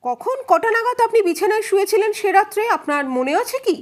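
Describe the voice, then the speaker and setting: A woman's voice: very high-pitched, expressive, fast, pitched above the performer's own voice. A woman voicing a probing male defence advocate in an audio story, questioning witnesses in a formal, insistent, pointed way. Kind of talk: dramatized courtroom questioning.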